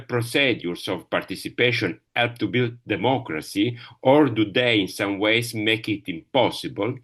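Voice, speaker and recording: Slow, deep-voiced Italian man. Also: fairly bright tone. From Italy. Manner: halting, many fillers, searching for words. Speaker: panelist. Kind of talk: panel talk.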